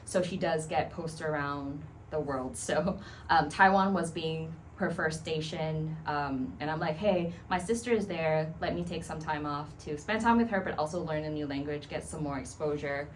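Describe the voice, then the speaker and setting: Thai woman in her 30s, low voice, thinks out loud with lots of um, slight hiss. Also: low-quality recording. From Thailand. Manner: thoughtful, measured, many "um" pauses. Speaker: guest. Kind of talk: casual interview answers.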